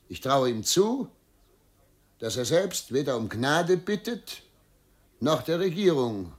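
An actor playing a principled, unbending governor in a radio drama, speaking formally and deliberately in measured phrases. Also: male voice, on the high side. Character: dignified, principled, unbending male provincial governor. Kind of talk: German radio drama acting.

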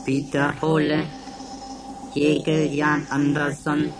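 A woman playing an impassive computer in a radio drama, announcing in a flat, clipped, mechanical way. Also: low-pitched, takes it slowly, background noise. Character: Seneca, an impassive computer running roll-call checks.

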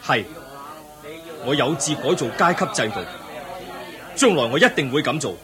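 Man as a young prince, earnest, compassionate, lively pitch, radio play, busy crowd behind. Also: moderate pace, natural voice. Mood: resolute. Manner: earnest, indignant pleading. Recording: market crowd sound effects behind. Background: crowd murmur.

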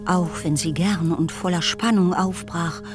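Measured woman narrator over music. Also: fairly low pitch, fairly even intonation. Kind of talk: scripted literary narration in a radio drama.

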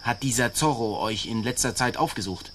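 A man acting a gruff army sergeant in a radio adventure drama. He talks brusquely at a middling pitch, suspicious and threatening, with faint night insects in the background. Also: moderate pace, background noise, lightly acted. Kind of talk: German radio play acting. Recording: faint night crickets behind. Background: faint night insect ambience.

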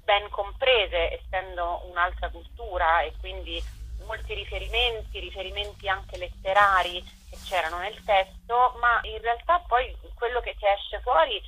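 A woman's voice: medium pitch, normal speed, pushed and bright, slightly rough, poor audio. A woman giving a radio interview as a theatre writer and director, repeating words as she searches for phrasing, over a telephone.

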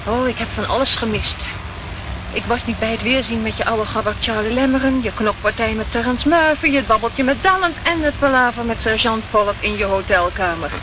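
A woman's voice: fairly high pitch, a little fast, lightly acted. An actress playing a detective's eager, inquisitive female companion in a Dutch radio play, chatty and full of questions. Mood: piqued, eager. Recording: noisy, muffled old radio recording.

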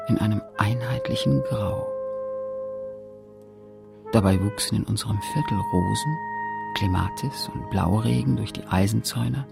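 A narrator in a radio play, reflectively recalling a postwar provincial childhood in measured, deliberate, literary recitation. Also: medium pitch, gravelly.

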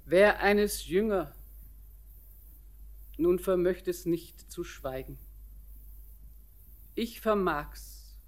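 A woman's voice: lowish voice, drawn-out words, pauses often, darkish tone. An actress playing an old, visionary, resigned grandmother in a literary radio play, declaiming solemnly and poetically. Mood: grave, remembering. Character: old visionary grandmother, resigned, mourning her dead, serene.

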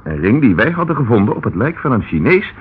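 Tinny noisy old radio play, male actor doing a persistent police inspector, brisk formal questioning. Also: medium pitch, sing-song, close to an everyday voice. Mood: urgent, reasoning. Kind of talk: Dutch radio detective drama acting.

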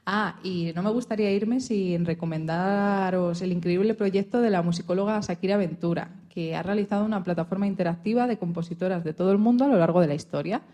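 A woman's voice: fairly low pitch, brisk, few pauses, dark tone, lo-fi sound, natural voice. A woman playing a resilient, proud historical pianist and composer, telling her life story earnestly in a radio interview. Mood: encouraging. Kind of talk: scripted mock radio interview.

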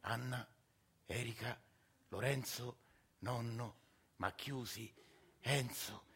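An actor playing a working-class Roman man of forty, speaking quietly and plainly in a theatre monologue. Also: mid-range voice, slow pace, husky, full character voice. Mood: hushed dreamy. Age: 40s. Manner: quiet, hushed, plain storytelling.

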